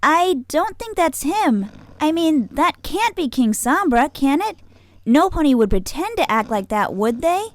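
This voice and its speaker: A woman's voice: sits high, sing-song, a little slow, dry recording. A woman voicing an earnest, polite young heroine pony in a cartoon audio drama, righteous and proper. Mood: earnest, puzzled.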